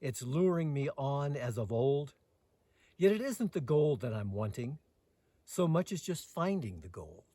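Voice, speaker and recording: A man reading poetry aloud outdoors as host, with dramatic phrasing and lines that trail off softer. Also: medium pitch, sing-song, a little slow, no room sound. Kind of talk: poetry recitation with casual introduction. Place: outdoors in the mountains.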